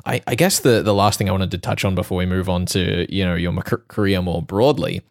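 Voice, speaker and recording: A man's voice: deep voice, brisk, creaky. A man hosting an interview, asking long questions in a fluent, conversational way with many casual fillers. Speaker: radio host and interviewer.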